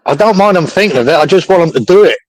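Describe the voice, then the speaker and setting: Man, slightly high voice, dry close sound, blunt co-host, joking banter. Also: lively intonation, racing, dry recording, poor audio. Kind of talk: casual chat.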